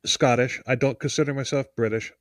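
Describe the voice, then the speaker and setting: American man, podcast co-host, rambling and emphatic, mid-pitched, close and dry sound. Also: steady tone, brisk, dry recording. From United States. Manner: rambling, self-interrupting, emphatic. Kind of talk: casual podcast chat and true-crime storytelling.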